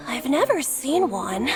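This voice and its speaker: High, slow, curious young woman. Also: full character voice. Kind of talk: anime game dialogue.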